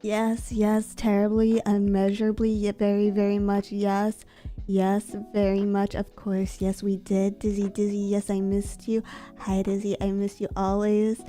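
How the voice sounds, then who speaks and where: Woman streamer, sick and stuffy, husky low voice, mellow tone, close mic, faint music behind. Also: monotone, measured pace. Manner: soft-spoken, mic held close. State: sick, congested and coughing. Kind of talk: casual chat reading donations. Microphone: close desk mic.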